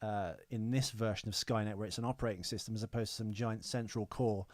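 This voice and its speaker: A man's voice: lowish voice, fairly even intonation, fast, no echo, studio quality. A British man co-hosting a podcast in casual film-review chat, rambling, opinionated and prone to swearing. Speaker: podcast co-host.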